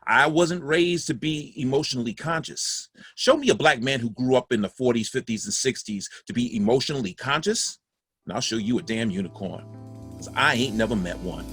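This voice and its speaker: Casting: man playing older dad, mid-pitched husky voice, rueful candid monologue, dry intimate sound. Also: normal speed, a bit bright, no echo, faint music behind. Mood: rueful, reflective. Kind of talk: dramatic monologue in play reading.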